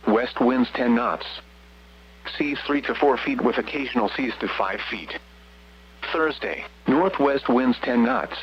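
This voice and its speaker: Male automated weather radio announcer, mid-pitched, reading bulletins formally in clipped phrases through a dull, lo-fi broadcast. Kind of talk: scripted marine forecast and advisory bulletin. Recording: muffled, noisy weather radio with hum.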